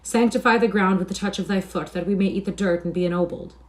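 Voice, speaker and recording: A woman's voice: fairly high pitch, lively intonation, moderate pace, mellow, voice pushed up, close to an everyday voice. A woman voicing a mocking male tinker in a storybook, exclaiming and pleading theatrically in archaic speech. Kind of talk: storybook character reading.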